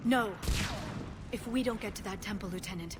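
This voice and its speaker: A woman's voice: mid-range voice, normal speed, noisy background, lightly acted. A woman playing a grave, insistent, fatalistic alien warrior in a video game. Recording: gunfire and artillery behind.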